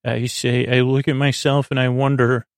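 Creaky voiced guy rambling on a sleep podcast, flat tone, keeps stammering and restarting words. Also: mid-range voice, no room sound, studio quality. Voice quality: creaky, gravelly. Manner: meandering, halting, stammering, repeats and trails off mid-word. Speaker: podcast host.

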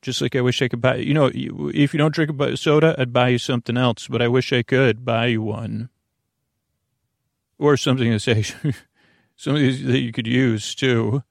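A middle-aged American man with a gravelly voice hosting a sleep podcast, rambling haltingly, repeating himself and trailing off mid-thought. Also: mid-range voice, fairly even intonation, dry recording, studio quality. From United States. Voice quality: gravelly, creaky. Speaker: podcast host.

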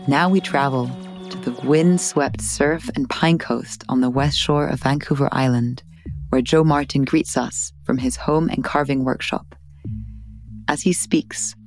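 A host narrates in a steady, level tone with a gravelly texture, painting scenes in a measured way. Faint music plays softly underneath. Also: mid-range voice. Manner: measured, evocative, even delivery. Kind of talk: scripted narration.

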